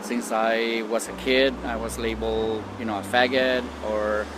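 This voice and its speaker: A man's voice: lowish voice, level tone, unhurried, noisy background. Reflective middle-aged man from Costa Rica. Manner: thoughtful, with frequent ums and restarts. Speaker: interviewee. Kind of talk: reflective personal testimony.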